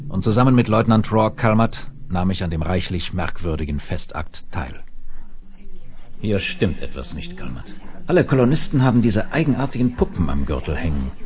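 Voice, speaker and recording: An actor playing an authoritative space administrator, formal and measured, telling his story in a 1970s radio play. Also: lowish voice, darkish tone, lightly acted. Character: grave, authoritative male space administrator narrating his adventure. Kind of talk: dramatised narration, 1970s German radio play.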